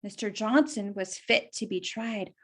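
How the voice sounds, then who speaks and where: A woman's voice: medium pitch, a little slow, fairly bright tone. A woman reading a children's story aloud and explaining it simply, as if to young listeners.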